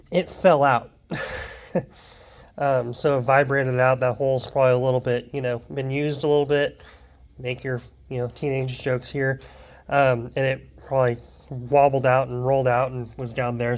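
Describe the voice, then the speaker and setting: A young man, a little sick and wheezy, talking casually through his car work in a garage vlog with lots of ums and side remarks. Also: medium pitch. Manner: rambling, lots of ums and asides. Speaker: car hobbyist vlogger. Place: home garage.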